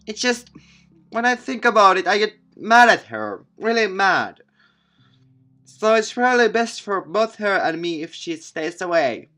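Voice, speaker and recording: A man's voice: high voice, big pitch swings, unhurried, sharp tone, faint music behind, voice pushed up, exaggerated voice. A man voicing an upset, stubborn teenage girl in a visual novel, emphatic, hesitant and defensive. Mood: angry, resentful. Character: upset teenage girl, stubborn, grudge-holding childhood friend.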